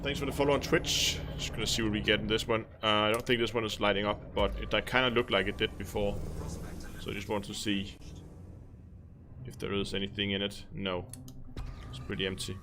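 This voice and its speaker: A man's voice: fairly even intonation, fairly quick, noisy background. A man with a Danish accent thinking aloud in casual commentary while live-streaming a game. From Denmark. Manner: thinking aloud, musing, low-key.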